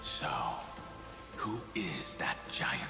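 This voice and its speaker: A man's voice: low-pitched, big pitch swings, full character voice. A man playing a ghostly shadow of memory, grave and ominous, speaking formally with a whispery breath in a scripted video game drama. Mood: solemn, ominous. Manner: slow, formal, foreboding. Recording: echoing long reverb over music.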